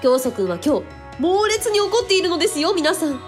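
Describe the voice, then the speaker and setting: A woman's voice: very high-pitched, big pitch swings, fairly quick, soft background music. A woman playing a playful female show host who coaxes and eggs others on in a comic radio drama. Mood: excited, encouraging. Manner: bubbly, coaxing, exclamatory.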